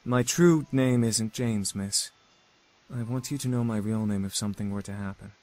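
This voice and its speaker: An actor voicing a gentle, worried male demon in a visual novel game, speaking earnestly and in a hush. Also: fairly low pitch, normal speed, dry recording, natural voice. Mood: earnest, protective. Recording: game audio with music behind.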